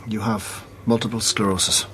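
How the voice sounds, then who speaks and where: An actor playing a candid, careful hospital consultant who explains things hesitantly in a radio drama. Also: male voice, lowish voice, level tone, normal speed, fairly bright tone, slight background noise, lightly acted. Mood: measured. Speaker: doctor.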